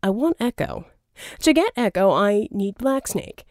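A woman's voice: mid-range voice, very animated, unhurried, darkish tone, dry recording, studio quality. A woman voicing a flamboyant, smug, scheming male villain in an audiobook, with a theatrical, wheedling manner. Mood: impatient, scheming. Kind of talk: audiobook character dialogue.

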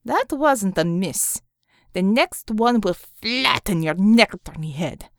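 A woman's voice: medium pitch, expressive, takes it slowly, no room sound. A woman narrator acts out a fierce, swaggering young superheroine with a Russian accent. She is blunt and scornful, her English broken. The intonation moves boldly in a dry, close recording. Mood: threatening.

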